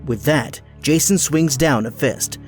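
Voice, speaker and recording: Guy narrator for action audio drama, slightly high voice, loud music under. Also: measured pace.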